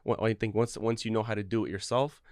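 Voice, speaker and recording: A young man from Ukraine talks in a low register with a smooth, clean tone. He speaks earnestly, often restarting his thoughts. The sound is dry and close. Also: flat delivery, fast, no room sound. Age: young adult. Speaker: guest, real estate broker. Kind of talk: casual interview talk.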